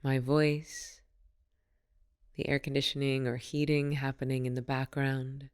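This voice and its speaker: Smooth-voiced woman guiding a meditation. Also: extremely low pitch, level tone. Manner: soothing, unhurried, gently instructive. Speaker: meditation teacher. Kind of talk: guided meditation.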